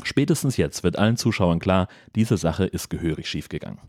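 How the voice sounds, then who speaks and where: Male recap narrator, deep voice, wry scripted delivery, dry studio-like sound. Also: expressive, a little fast, a little mellow, no echo.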